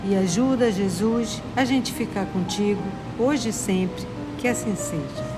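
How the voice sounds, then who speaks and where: A woman's voice: medium pitch, unhurried. A woman co-hosting a children's lesson speaks a simple prayer in short, plain phrases. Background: clear background music.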